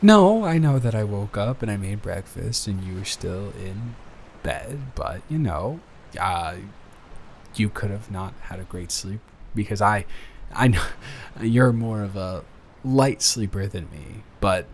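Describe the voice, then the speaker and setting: A man acting as a devoted young boyfriend with a lowish voice, nervous and sweet on his partner. He rambles and stumbles bashfully through an intimate, improvised roleplay, with noticeable ambient noise behind him. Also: animated, unhurried. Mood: tender, rambling. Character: nervous, adoring young boyfriend about to propose.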